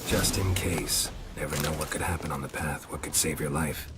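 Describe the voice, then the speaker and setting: An actor playing a seasoned monster hunter and fatherly mentor in a video game, gruff and laconic with dry understatement. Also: extremely low pitch, fairly even intonation, moderate pace, heavy background noise. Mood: wry, calm. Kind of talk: cinematic video game acting.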